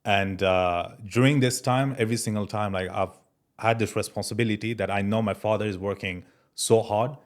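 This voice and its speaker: A young man from France talks in a low voice as a podcast guest. His manner is relaxed and casual, and he keeps dropping fillers like "bro" and "man" as he tells his story. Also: moderate pace. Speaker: guest entrepreneur. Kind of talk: casual interview chat.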